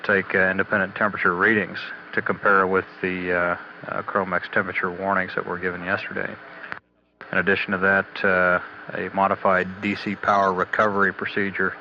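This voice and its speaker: A man giving a halting, matter-of-fact technical status briefing as a spaceflight mission commentator, on old radio audio. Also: low voice, little pitch movement. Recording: dull, noisy 1980s mission radio audio.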